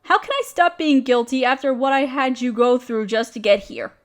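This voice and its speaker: High-voiced, apologetic young woman acted by a woman. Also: moderate pace, close to an everyday voice. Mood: sheepish, warming. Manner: self-deprecating, hesitant, casual. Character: downcast, apologetic young woman, overworked, confiding in a close friend. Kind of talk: roleplay audio drama, intimate one-sided conversation.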